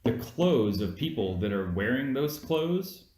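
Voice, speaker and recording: A man's voice: medium pitch, sing-song, a little slow, noisy background. A man co-hosting an amateur movie review in casual, argumentative chat, insistently explaining his points. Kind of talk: casual argumentative film chat between friends. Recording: echoey room.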